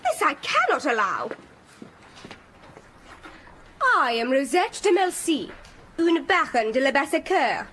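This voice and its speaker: A snooty schoolgirl in a period radio drama. Her voice is high and swoops about as she taunts. Faint room noise sits behind her. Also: sing-song, moderate pace. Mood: haughty. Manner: taunting, exclamatory. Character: mocking, haughty young French-speaking schoolgirl.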